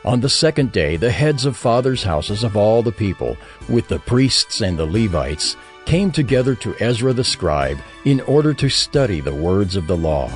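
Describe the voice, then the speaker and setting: Deep, expressive male scripture narrator over music. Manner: measured, formal reading.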